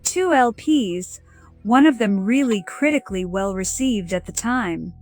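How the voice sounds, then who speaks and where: Woman acting a young professional woman, eager, chatty, brisk salesy manner, audio drama, music behind. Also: mid-range voice, lively intonation, a little mellow, close to an everyday voice. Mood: probing. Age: young adult. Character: bright young professional woman, eager streaming-platform scout. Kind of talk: scripted audio drama dialogue.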